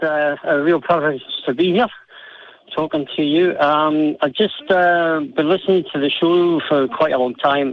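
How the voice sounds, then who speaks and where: A man's voice: a little high, a little hiss or hum. A Scottish man calling in to a talk show, chatty and hesitant, with lots of fillers. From Scotland. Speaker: caller. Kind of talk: phone-in call. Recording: dull phone-line audio.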